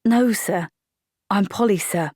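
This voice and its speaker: A woman's voice: medium pitch, takes it slowly, darkish tone, no echo, studio quality, voice pushed up. A woman reading aloud, voicing an earnest young woman soldier in a storybook. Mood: dutiful. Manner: firm, apologetic, dutiful.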